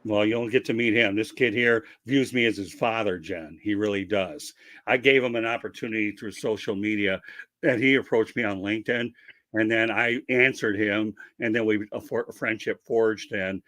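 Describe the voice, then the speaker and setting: A man's voice: lowish voice, fairly even intonation, normal speed, fairly bright tone, no room sound. A man hosting a casual interview, talking at length in a chatty, rambling, promotional way over a video call. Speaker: interview host.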